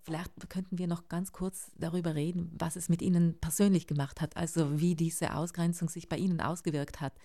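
A woman, hosting an interview in a measured conversational style as a journalist. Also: on the low side, little pitch movement, warm tone.

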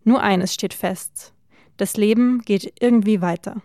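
A female reporter reading a scripted news report in a measured, even voice. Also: mid-range voice, no echo, studio quality.

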